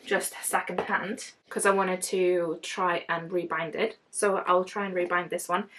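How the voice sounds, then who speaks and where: A woman's voice: medium pitch, fairly bright tone, a little hiss or hum, low-quality recording. Chatty young woman. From Iceland. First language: Icelandic. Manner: chatty and rambling, often restarts words, gushes about books. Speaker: book vlogger. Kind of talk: casual book unboxing chat.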